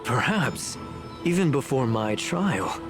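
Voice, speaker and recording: An actor playing a scheming, arrogant elder official who speaks in a pompous, formal, measured way, like a villain in a story game. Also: male voice, mid-range voice, big pitch swings, over music. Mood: smug.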